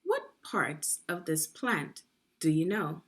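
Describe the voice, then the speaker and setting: A woman's voice: lowish voice, big pitch swings, slow pace. A woman narrating a guided read-along for children, speaking in an instructive way and putting questions to young listeners. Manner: clearly enunciated, instructive, addressing young learners.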